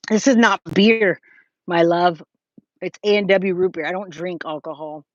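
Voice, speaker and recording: A woman's voice: on the low side, fairly even intonation, a little slow, dry recording. American woman in dull, lo-fi livestream audio. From California, United States. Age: 40s. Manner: informal, addresses viewers as guys, babe, my love. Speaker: true crime livestream host. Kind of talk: casual livestream chat, replying to viewers' comments.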